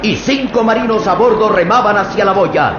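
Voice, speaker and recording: High-voiced male radio serial narrator. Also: normal speed. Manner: tense, suspense-building storytelling. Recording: echoey, muffled old radio with loud hiss.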